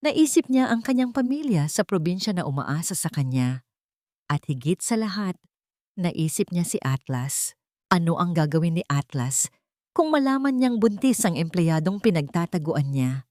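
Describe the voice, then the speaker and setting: A woman narrates a scripted romantic audio drama in a fairly low voice. The sound is dry and close, with very little room around it. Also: big pitch swings, normal speed, dark tone, dry recording.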